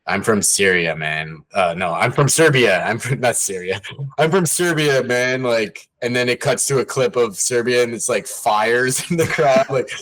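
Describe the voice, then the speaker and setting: A man co-hosting a casual sports podcast, talking loosely with many fillers and getting animated when amused. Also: mid-range voice, normal speed. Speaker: podcast co-host.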